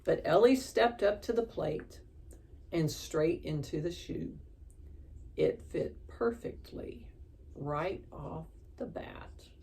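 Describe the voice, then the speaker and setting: A woman reads a children's story aloud with expression. Her voice is slightly low and creaky, and she takes her time. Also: expressive, slow pace, mellow.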